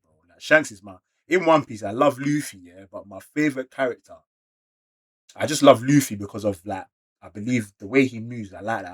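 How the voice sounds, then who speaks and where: A man with a London accent giving an excited, slangy read-along reaction to manga, full of exclamations. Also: fairly low pitch, husky. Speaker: YouTube reactor.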